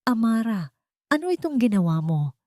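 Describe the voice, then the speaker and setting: Remorseful adult son for audio drama, read by a woman, anguished, trembling, animated pitch. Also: moderate pace, dry recording, clean studio sound. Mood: anguished, confronting. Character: shaken, remorseful grown son.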